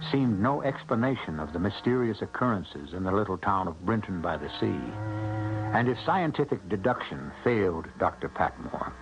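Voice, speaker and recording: A man playing an ominous host and storyteller of a radio mystery, narrating in a measured, theatrical way. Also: on the low side, noisy background. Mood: grave. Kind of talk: dramatic scripted radio narration. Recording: dull vintage radio recording. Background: dramatic music.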